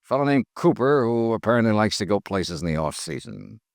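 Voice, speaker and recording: An actor playing a brisk, dogged male insurance investigator who narrates his case in a 1950s radio detective drama. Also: fairly low pitch, expressive, vocal fry, dry recording. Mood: clipped, matter-of-fact. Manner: brisk, direct, pressing. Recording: 1950s radio recording, dry studio sound.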